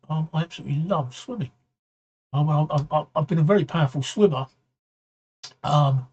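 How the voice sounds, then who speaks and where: Hoarse, husky man chatting on a stream. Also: on the high side, a little mellow. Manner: halting, repeats words, often clears throat. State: voice getting hoarse. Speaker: livestream talk show host. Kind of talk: casual livestream chat answering viewer comments.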